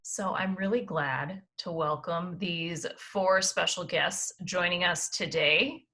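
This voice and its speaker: Woman host, bright forceful voice, runs an online panel with steady instructions. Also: lowish voice, slow. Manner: organized, gives instructions, occasional fillers. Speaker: assistant dean of admissions, panel host.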